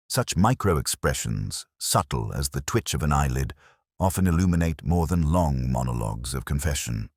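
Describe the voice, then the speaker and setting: A man playing a brooding, observant police inspector, narrating an audio mystery drama in measured, literary, contemplative phrases. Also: very deep voice, darkish tone, no echo, studio quality, full character voice. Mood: grave, reflective.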